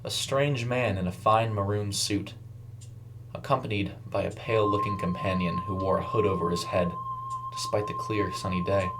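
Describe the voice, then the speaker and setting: Low-pitched man narrating horror. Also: poor audio. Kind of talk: dramatic scripted horror story narration. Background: music under the voice.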